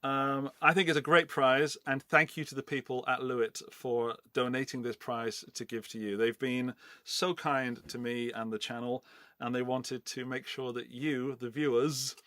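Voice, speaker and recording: A man's voice: mid-range voice, flat delivery, normal speed, pushed and bright, no room sound. A middle-aged man from England, hosting a casual live-stream giveaway in a loose, rambling, chatty way. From England, Forest of Dean in Gloucestershire. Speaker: livestream host running a giveaway.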